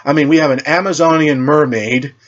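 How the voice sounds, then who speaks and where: A man's voice: fairly high pitch, fairly even intonation, fairly quick, dull audio, pitched above the performer's own voice, natural voice. A man voicing a skeptical, needling teenage speedster hero in a superhero story, with a wry manner. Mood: snarky.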